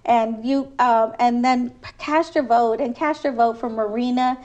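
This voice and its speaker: A woman's voice: fairly high pitch, unhurried, a little mellow, muffled recording. A woman from Trinidad, a city council candidate, answering interview questions in an earnest, persuasive way with frequent restarts.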